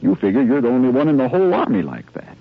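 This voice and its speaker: Army lieutenant, 1950s radio drama: earnest, inspiring, highly animated delivery, husky edge. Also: male voice, heavily acted. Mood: firm, challenging. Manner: earnest, rhetorical, speaking in measured short phrases. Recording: muffled old 1950s radio recording.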